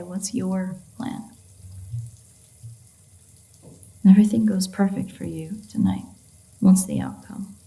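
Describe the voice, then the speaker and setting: A woman acts out a playful vampire woman with a lowish, dark, relaxed voice. She is sardonic and flirty, with plenty of vocal fry. The delivery is intimate audio roleplay, free-spirited and foul-mouthed. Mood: wry, relaxed. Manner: teasing, sarcastic, flirtatious, swearing.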